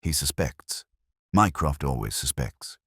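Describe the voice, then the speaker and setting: A man reading the part of an aging, detached, brooding gentleman detective in a Victorian audiobook, speaking in grave, cryptic pronouncements. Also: rumbling low, sing-song, measured pace, breathy edge, dry recording, clean studio sound, exaggerated voice. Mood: dry, guarded.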